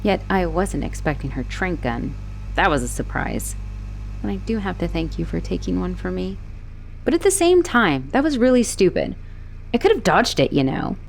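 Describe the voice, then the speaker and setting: A woman playing an obsessive, manipulative young woman who escaped an asylum, in a teasing, expressive roleplay monologue spoken straight to the listener. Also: on the low side, moderate pace, gravelly, slight background noise. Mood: wry, confiding. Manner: teasing, possessive, expressive, swinging between sweet and exasperated. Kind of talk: dramatic roleplay audio monologue addressed to the listener.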